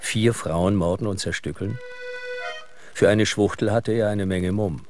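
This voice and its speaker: A man's voice: fairly low pitch, a little slow, darkish tone. A man reading scripted narration as the storyteller of a radio drama. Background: accordion music.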